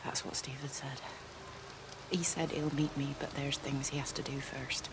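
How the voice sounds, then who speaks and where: Low-voiced, down-to-earth village woman. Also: little pitch movement, moderate pace, noisy background. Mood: subdued, resolute. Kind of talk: naturalistic video game voice acting.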